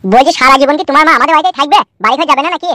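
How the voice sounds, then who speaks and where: A woman's voice: high-pitched, very fast, sharp tone, a little hiss or hum. A performer, heard as a woman, playing a suspicious, sarcastic husband who grumbles in comic cartoon dialogue. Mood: grumbling.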